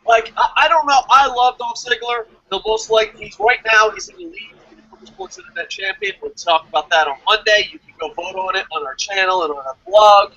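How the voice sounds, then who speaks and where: A man giving excitable, casual fan commentary as co-host of a review show, rambling and repeating himself. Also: high-pitched, a little fast, few pauses, no echo, lo-fi sound.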